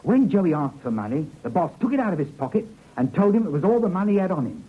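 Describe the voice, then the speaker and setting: A man's voice: high voice, sing-song, fast, husky, full character voice. An actor playing an evasive, deferential small-time crook henchman who hedges and explains in a vintage radio drama. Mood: excited, insistent. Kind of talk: 1950s radio drama acting. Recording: muffled old radio recording.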